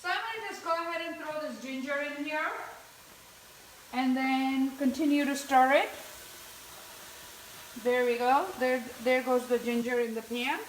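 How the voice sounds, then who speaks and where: A woman from India with a fairly high voice talks viewers through a home recipe, chatty and explaining each step as she cooks. She is heard from across a kitchen, with lots of echo and a faint hiss behind her. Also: measured pace, pauses often. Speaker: cooking show host. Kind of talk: live-streamed cooking demonstration. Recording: echoey kitchen from far off.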